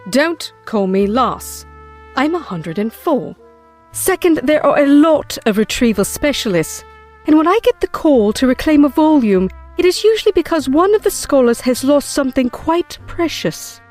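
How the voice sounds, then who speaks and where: An actress playing a brisk, wry, long-lived woman who retrieves lost books in an audio drama, with a clipped, assured delivery. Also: sits high, big pitch swings, a little mellow, faint music behind. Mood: dry, assured. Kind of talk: full-cast audio drama acting.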